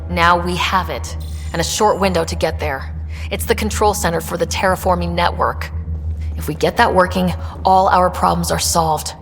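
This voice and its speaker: A woman's voice: on the low side, steady tone, moderate pace, long reverb tail, soft background music, close to an everyday voice. An actress playing a resolute young woman who leads space explorers in a video game. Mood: firm, earnest. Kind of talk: video game dialogue acting.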